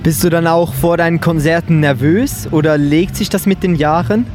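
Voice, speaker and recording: Swiss radio interviewer, music behind. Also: male voice, fairly high pitch, normal speed. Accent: Swiss German. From Switzerland. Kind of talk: interview questions. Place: outdoor music festival. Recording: live music playing behind.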